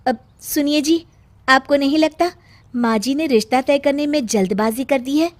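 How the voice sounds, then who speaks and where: Woman acting a high-pitched earnest young daughter-in-law. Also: moderate pace, close to an everyday voice. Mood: worried, scheming. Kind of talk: animated Hindi moral story dialogue.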